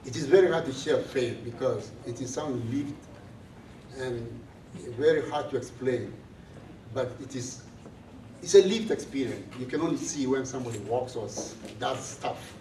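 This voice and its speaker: A priest from South Sudan, giving a measured, earnest talk to a student gathering. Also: male voice, mid-range voice, mellow, slight background noise. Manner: slow, measured, earnest speaking. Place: large room on a college campus. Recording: echoey room from far off.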